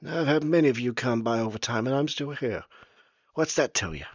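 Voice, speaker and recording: A man's voice: mid-range voice, animated, fairly quick, no echo, lo-fi sound, voice pushed up. A man voicing an older, plainspoken male ferryman who is wary, with a folksy rural drawl and loose grammar. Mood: wistful, guarded.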